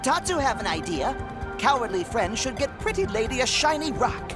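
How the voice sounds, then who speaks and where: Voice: medium pitch, big pitch swings, moderate pace, natural voice. An actor playing a small, cheerful, gluttonous furry creature in a video game, talking in broken childlike sentences about itself in the third person. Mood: eager. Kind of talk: video game cutscene acting. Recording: music behind the voice.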